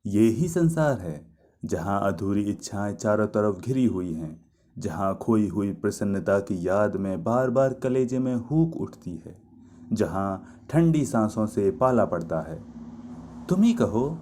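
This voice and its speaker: A man's voice: on the low side, animated, measured pace, mellow, a little hiss or hum. A man voicing a lovelorn, reproachful young woman in a mythological story, speaking in a poetic, pleading, emphatic way. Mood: wistful, bitter.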